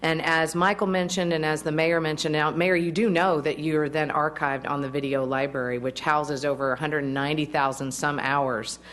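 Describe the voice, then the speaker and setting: A woman's voice: sits low, steady tone, normal speed, few pauses, a bit bright, a little hiss or hum. A woman representing a broadcast network, giving friendly public remarks at a council meeting through a podium microphone and laughing easily. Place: council chamber.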